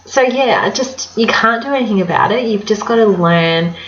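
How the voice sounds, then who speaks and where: A woman's voice: mid-range voice, moderate pace, echoey room, lo-fi sound. A young woman in her twenties, a vlogger chatting casually to camera and giving advice, with many ums and a slightly nasal sound from a cold. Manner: chatty, warm-hearted advice with many fillers.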